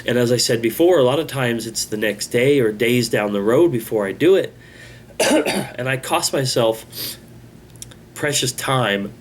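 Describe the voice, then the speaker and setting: A man hosting his own channel, talking in a halting, rambling, self-critical way, with a congested voice. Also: mid-range voice. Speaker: channel host. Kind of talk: casual vlog monologue.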